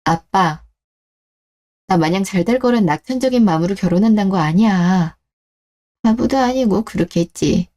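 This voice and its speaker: A self-assured young bride-to-be played by a woman speaks with a mellow tone at a middling pitch. She is composed and direct, delivering radio-drama lines in a matter-of-fact way. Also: moderate pace, long pauses, lightly acted. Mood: earnest, firm. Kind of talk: scripted story-radio drama.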